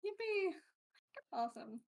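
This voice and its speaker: A woman's voice: very high-pitched, sing-song, a little slow. A woman with a lisp, casually hosting a group chat and inviting people to speak. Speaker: host.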